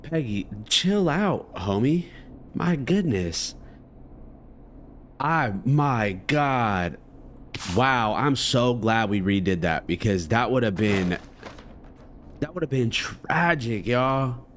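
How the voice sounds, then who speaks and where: A man commentating casually on a video game he is playing, talking in an animated, slangy way. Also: mid-range voice, takes it slowly, long pauses, a little hiss or hum. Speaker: gaming video creator.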